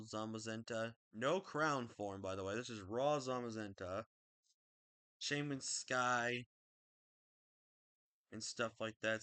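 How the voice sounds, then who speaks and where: A young man talking casually about his game team, rambling and correcting himself, with a slightly raspy throat. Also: mid-range voice, dry recording. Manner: rambling, self-correcting, excitable. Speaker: draft league player. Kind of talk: casual video commentary.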